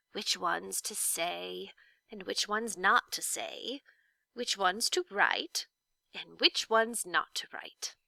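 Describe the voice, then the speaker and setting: Storybook role: kindly old lady witch, performed by a woman, measured and formal, creaky edge. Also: medium pitch, no room sound, full character voice. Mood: proud, reminiscing. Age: older. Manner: gentle, deliberate, formal. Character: kindly, dignified old lady, a not-so-wicked official witch. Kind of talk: children's storybook read-aloud.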